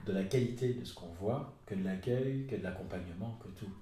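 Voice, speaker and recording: A man's voice: lowish voice, brisk, mellow, slight background noise. A man hosting a casual podcast interview in an echoey room, agreeably chiming in with short affirmations.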